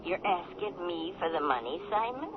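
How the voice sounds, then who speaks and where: A woman's voice: on the low side, very animated, moderate pace, a crowd in the background. A woman playing a shrewd, wary elderly cousin in a 1970s radio drama. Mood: probing, skeptical. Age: older. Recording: tinny, noisy 1970s radio recording.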